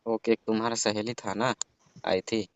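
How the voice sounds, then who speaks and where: A man's voice: lowish voice, little pitch movement, unhurried, smooth, close to an everyday voice. A man playing a hesitant young man who teases and dodges casually in a staged phone conversation. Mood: teasing, casual. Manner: teasing, evasive, casual. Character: teasing, hesitant young man courted by his female cousin. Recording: dull lo-fi call recording.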